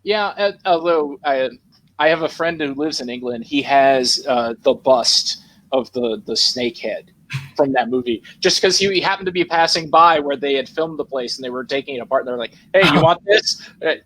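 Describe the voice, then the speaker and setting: A man's voice: mid-range voice, moderate pace, a bit bright, poor audio. A man chatting casually on a podcast panel, enthusiastic and rambling, often restarting his sentences. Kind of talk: casual chat.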